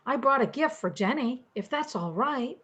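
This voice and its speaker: Gracious, chatty grandmother, acted by an older woman, high-pitched, old radio soap delivery. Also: lively intonation, normal speed, a bit bright. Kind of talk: old-time radio drama acting.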